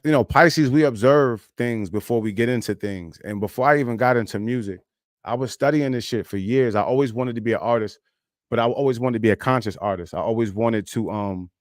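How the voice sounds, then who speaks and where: A man's voice: mid-range voice, normal speed, dry recording, studio quality. A man in his thirties, an independent rap artist, talking casually and candidly on a live stream in a loose, rambling way, with constant "you know what I'm saying" asides. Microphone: streaming mic.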